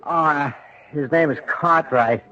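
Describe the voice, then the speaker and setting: An actor playing a brisk, flippant emergency doctor in a radio drama, with a clipped, offhand delivery. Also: male voice, on the high side, pushed and bright. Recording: dull old radio recording.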